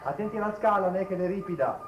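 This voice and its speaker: An actor playing a bustling, chatty rustic servant with a Lombard accent in a 1950s Italian television period drama. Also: male voice, high-pitched, rapid-fire, lo-fi sound, close to an everyday voice. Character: chatty rustic dialect-speaking household servant. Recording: echoey old TV soundtrack, music.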